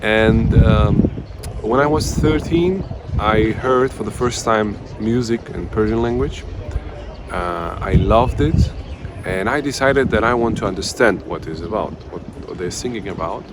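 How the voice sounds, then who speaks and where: A man's voice: lowish voice, lively intonation, unhurried, noisy background. Hesitant man. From Uzbekistan. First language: Russian. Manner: hesitant, many fillers and restarts. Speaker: language teacher, channel host. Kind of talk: personal story to camera.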